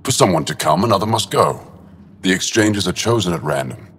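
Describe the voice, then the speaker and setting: An actor playing a solemn, defensive alien city dweller in a video game, speaking in a measured, resigned way. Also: male voice, low-pitched, lively intonation, a bit bright, slight background noise. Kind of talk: video game dialogue acting. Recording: long hall reverb, faint ambience.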